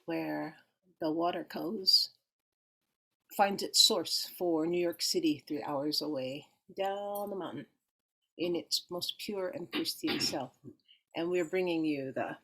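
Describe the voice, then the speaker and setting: A woman presenter at a ceremony who opens with a rhythmic chanted invocation, then speaks calmly. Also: fairly low pitch, takes it slowly, rough recording.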